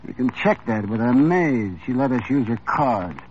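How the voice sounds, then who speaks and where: Brief: male actor as a showy, fussy shopkeeper, lively swooping delivery, 1950s radio drama, dull old broadcast sound. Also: mid-range voice, moderate pace, lightly acted. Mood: effusive. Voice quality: gushing, showy. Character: fussy, theatrical male cologne seller. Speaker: shop owner. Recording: muffled old radio recording with faint hiss.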